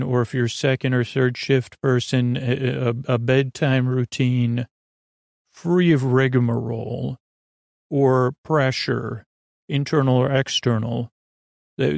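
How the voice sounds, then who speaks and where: A man hosting a sleep podcast in an informal, meandering, gently reassuring monologue, hesitating and trailing off mid-thought. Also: mid-range voice, gravelly, no room sound, studio quality. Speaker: podcast host.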